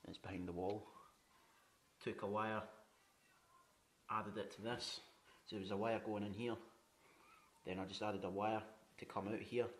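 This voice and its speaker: A chatty man with a low-pitched voice walks through his half-stripped flat. He talks casually, swears freely and keeps asking "you know what I mean". The handheld camera mic catches faint clicks and handling noise. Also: unhurried. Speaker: home renovator. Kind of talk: walkthrough tour narration. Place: indoors in an empty flat under renovation.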